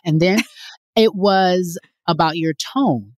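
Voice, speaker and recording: A woman's voice: fairly low pitch, slow, no echo. A woman hosting an interview, chatty and affirming, often backing up her guest. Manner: warm, chatty, affirming with frequent uh-huhs. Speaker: interview host. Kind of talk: conversational interview.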